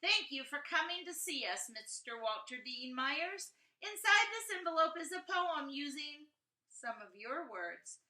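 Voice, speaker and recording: A woman voicing an earnest, gushing schoolboy in an expressive storybook read-aloud, leaning emphatically on repeated words. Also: high-pitched, voice pushed up, heavily acted. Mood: sincere. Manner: emphatic, halting repetition of words. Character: earnest, gushing schoolboy writing journal poems and letters. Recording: echoey room.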